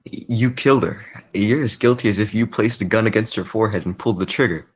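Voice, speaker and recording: A man playing a quiet, lovelorn but stubborn circus man in a 1940s radio drama, hesitant and repeating himself. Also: lowish voice, fast, slightly rough. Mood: accusing.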